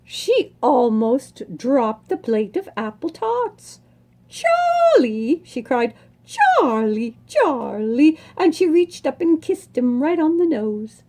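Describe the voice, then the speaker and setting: High-pitched, animated woman reading a children's story. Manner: dramatic, savoring words with emphatic pauses. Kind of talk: expressive read-aloud of a children's storybook.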